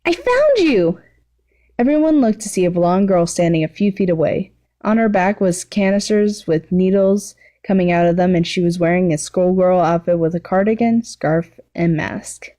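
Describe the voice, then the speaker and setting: Mellow woman reading a story. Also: on the low side. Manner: casual, stumbles and corrects herself aloud. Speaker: fan fiction reader. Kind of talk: story narration, informal amateur reading.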